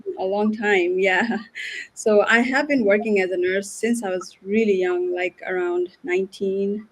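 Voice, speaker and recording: A woman's voice: medium pitch, steady tone, measured pace, poor audio. Woman from nepal, late 30s, guest on a video call, earnest, repeats herself. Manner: earnest, repeats words as she thinks. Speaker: guest, nurse and business owner. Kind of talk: live online interview.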